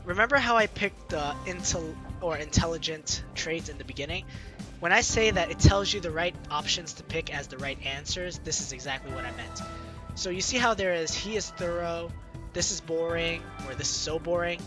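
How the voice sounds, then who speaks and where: A man hosting a gaming video, reading visual novel narration aloud informally, with casual asides and self-corrections. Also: a little high. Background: game music.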